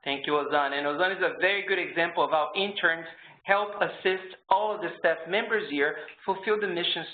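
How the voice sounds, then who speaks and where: Echoey, slightly high-voiced male moderator. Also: slight background noise. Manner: smooth, formal hand-overs. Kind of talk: presenting speakers. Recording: tinny phone-like sound in an echoey room.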